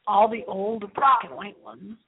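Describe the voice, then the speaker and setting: A woman's voice: medium pitch, steady tone, a little slow. A middle-aged woman hosting a late-night horror movie radio show, rambling theatrically and repeating her phrases. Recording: thin, phone-like lo-fi sound.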